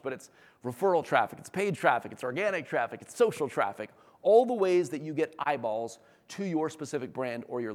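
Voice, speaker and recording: A man giving an informal business presentation as a company executive, enthusiastic, conversational and emphatic, with a slightly hoarse voice. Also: a little high. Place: large room, talk before an audience. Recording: echoey hall with long reverb.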